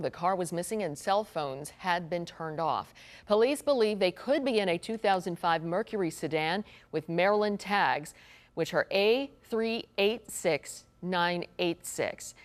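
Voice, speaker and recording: A woman with an American accent reading scripted news copy as a television anchor. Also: fairly low pitch.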